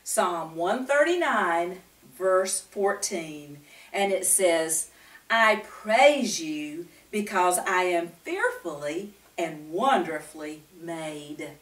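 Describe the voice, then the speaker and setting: An older woman hosting a children's Bible story time, speaking directly to young listeners with frequent questions and pauses between phrases. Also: fairly low pitch, animated, a bit bright. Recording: roomy, echoing, distant microphone.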